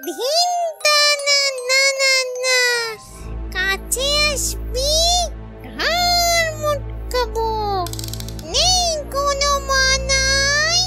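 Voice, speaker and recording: A woman's voice: very high voice, expressive, extremely slow, bright, forceful tone, distant mic, faint music behind, heavily acted. A woman voicing a gleeful, menacing female ghost in a children's cartoon, with sing-song threats and cackling. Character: gleeful, menacing female tree ghost guarding her tamarinds.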